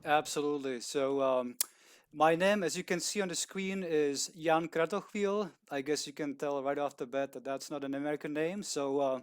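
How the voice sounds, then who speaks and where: A man with a Czech accent talks over a video call in a smooth, clear voice that sits a little high for a man. He is polite and halting, filling gaps with "uh" and restarting his sentences. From Czech Republic. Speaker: guest speaker, video producer. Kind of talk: casual talk on a video call.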